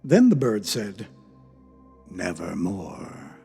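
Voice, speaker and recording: Man, dramatic poetry narrator, mellow husky tone, highly varied intonation, theatrical dynamics, music behind. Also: sing-song, a little slow. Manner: theatrical, swinging from hushed murmurs to loud emphasis.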